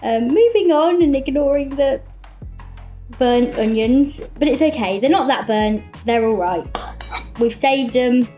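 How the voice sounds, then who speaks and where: Higher-voiced woman chatting in a roomy kitchen. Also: expressive, measured pace, dark tone. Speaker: home cook presenting a recipe. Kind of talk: casual chatty cooking demonstration. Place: home kitchen, indoors. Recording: roomy kitchen with music and clattering dishes.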